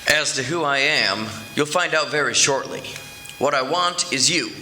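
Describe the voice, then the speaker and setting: A man's voice: fairly high pitch, moderate pace, pushed and bright, soft background music, rough recording. A man playing an ominous, insistent mystery caller in the manner of a 1940s radio villain. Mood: menacing. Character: menacing mysterious male caller issuing threats.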